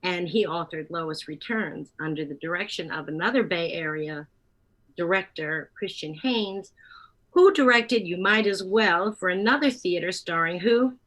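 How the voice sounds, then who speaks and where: A woman being interviewed as a theater producer, talking conversationally and partly from notes, with halting pauses and self-corrections. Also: fairly low pitch, fairly bright tone, rough recording.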